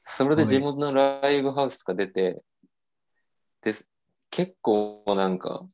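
A man's voice: mid-range voice, a little slow. A young man casually telling a self-mocking story on a podcast, with lots of fillers.

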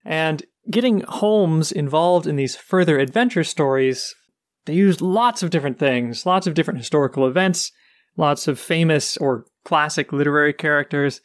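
Slightly high-voiced man explaining books. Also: normal speed, dry recording, clean studio sound. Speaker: podcast co-host. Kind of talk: casual book chat.

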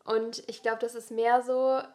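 A young woman with a fairly high voice co-hosts a podcast. She speaks in casual, slangy everyday language and puts plenty of emphasis on her points, as if chatting with a close friend. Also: flat delivery, measured pace, darkish tone. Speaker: podcast co-host.

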